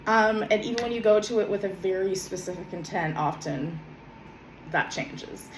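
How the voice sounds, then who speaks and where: Woman a bit hoarse from a cold, soft and halting, lots of ums, echoey room w faint hum. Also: mid-range voice. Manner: soft, hesitant, many ums and self-corrections. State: slightly hoarse from a summer cold. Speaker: vlogger. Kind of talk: casual heartfelt talk and reading her own journal.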